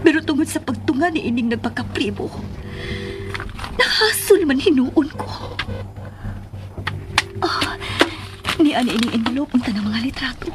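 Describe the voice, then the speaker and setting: A sentimental, tender Filipino wife in a Cebuano radio drama. She speaks in a high voice as if musing aloud, with clear music playing behind her. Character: wistful, sentimental married woman recalling an old love. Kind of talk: Philippine radio drama monologue and dialogue.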